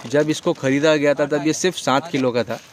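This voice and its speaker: A man's voice: a little high, fairly even intonation, a little fast, a crowd in the background. A man hosting a casual interview vlog at a goat farm, prompting the owner with frequent murmurs of agreement, recorded outdoors at night on a handheld camera. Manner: chatty, prompting with frequent hmm and haan.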